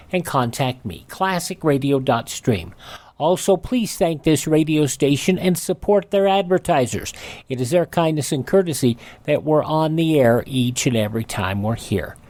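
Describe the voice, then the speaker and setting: A man's voice: a little high, a little mellow. A man hosting a radio show, giving a courteous, folksy scripted sign-off with casual asides. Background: music.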